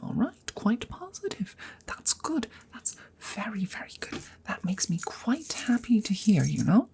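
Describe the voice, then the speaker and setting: A woman voicing an awkward, courteous female dragon in an ASMR roleplay, speaking softly and haltingly, often dropping into a breathy whisper. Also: medium pitch, sing-song. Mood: pleased, tender. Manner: hesitant, halting, formal and polite.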